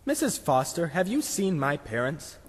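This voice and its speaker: A man playing a curious, excitable schoolboy in a children's puppet show, with a husky voice and earnest manner. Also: on the high side, normal speed, long reverb tail. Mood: eager.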